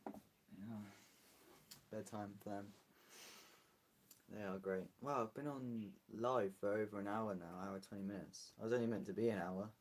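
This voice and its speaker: A man's voice: on the low side, fairly even intonation, moderate pace, pauses often. A British man streaming live, chatting casually and rambling as he answers viewer comments. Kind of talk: casual livestream chat.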